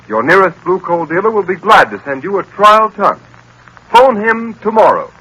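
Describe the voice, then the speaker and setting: A man's voice: high voice, very animated, moderate pace, bright, forceful tone, breathy edge, low-quality recording. An American man announcing and narrating in vintage 1940s radio style, with dramatic, emphatic delivery.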